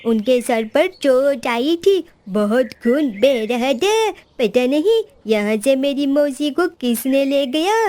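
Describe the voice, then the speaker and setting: A woman voicing a sly male cartoon crow who pleads in an exaggerated, theatrically drawn-out way. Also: high voice, darkish tone, no room sound. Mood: fake wailing. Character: sly male crow, wheedling con artist. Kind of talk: Hindi children's cartoon voice acting.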